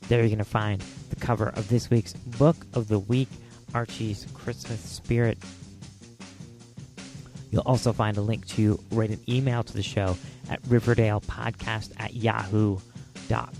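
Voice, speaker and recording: A man's voice: fairly low pitch, vocal fry, darkish tone. A man hosting a podcast on his own, talking casually with many fillers and repeats, his voice scratchy as he loses it. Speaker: podcast host. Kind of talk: solo podcast talk.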